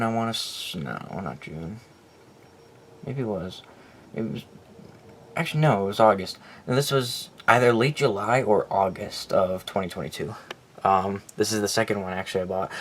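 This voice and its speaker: A man giving a casual, unscripted showcase of his collection, rambling, correcting himself and speaking bluntly. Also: on the low side. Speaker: collector presenting weather radios. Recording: clear background noise.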